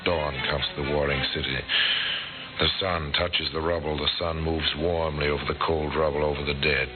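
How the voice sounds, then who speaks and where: Very deep-voiced portentous crime narrator, terse and brooding, on tinny vintage radio with loud music behind. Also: male voice, level tone, moderate pace. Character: brooding storyteller of grim crime tales. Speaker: narrator and host. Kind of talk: old-time radio dramatic narration. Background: dramatic music.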